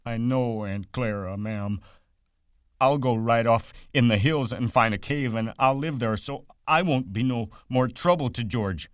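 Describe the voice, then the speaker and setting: A man voicing a big, simple-minded grown man who pleads and whines like a child, in rough country grammar. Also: a little slow, a little mellow, no echo, clean studio sound, lightly acted. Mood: pleading. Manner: high, whining and frantic, rough country grammar.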